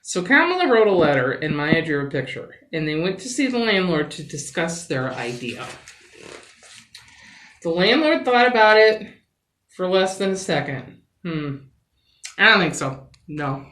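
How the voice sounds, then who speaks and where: Animated woman reading a children's story. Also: fairly low pitch. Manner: animated, dramatic pauses and emphasis. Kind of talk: expressive read-aloud of a picture book. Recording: roomy, echoing sound.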